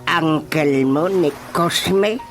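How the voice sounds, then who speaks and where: A man's voice: on the high side, extremely slow. A man with an Ilocano Filipino accent playing a flustered, hesitant village chairman in a radio drama, full of exclamations. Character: flustered, easily startled male village chairman. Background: music.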